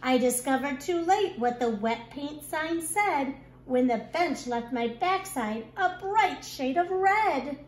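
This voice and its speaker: Woman, kindergarten teacher, high voice, expressive picture-book reading, echoey room. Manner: expressive, deliberate storytelling delivery. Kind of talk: reading a rhyming picture book aloud to young children. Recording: echoey room with clear background noise.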